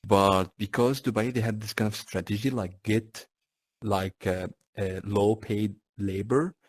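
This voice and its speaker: Young man, low voice. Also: fairly even intonation, unhurried, no echo. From Syria. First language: Arabic. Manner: earnest, leans on "you know" and "like". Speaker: guest. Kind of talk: casual conversation.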